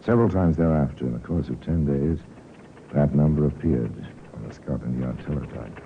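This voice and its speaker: An extremely deep male voice narrating crime tales with grave, sardonic deliberation. It is a vintage radio broadcast, narrow and tinny with faint noise behind. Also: moderate pace. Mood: wry, grave. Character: grave, wry male storyteller of crime cases. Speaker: narrator and host. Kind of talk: 1950s radio drama narration. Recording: tinny, noisy old radio recording.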